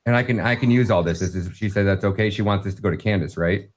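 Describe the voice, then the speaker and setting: A man chatting casually in an excitable, emphatic and heavily profane way. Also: lowish voice, quick pace. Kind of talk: casual chat.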